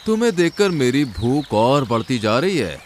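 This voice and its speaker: A man's voice: mid-range voice, big pitch swings, moderate pace, slight background noise, close to an everyday voice. A man voicing an arrogant, cruel, greedy heron in a cartoon story, boastful and menacing. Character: arrogant, cruel, greedy male heron, newly young again.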